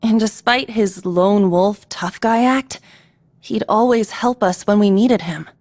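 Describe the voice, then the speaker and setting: A woman's voice: mid-range voice, measured pace, a little mellow, dry recording, natural voice. Woman as a frank young girl cadet, dry sound. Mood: reflective, sincere. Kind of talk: dramatic video game dialogue.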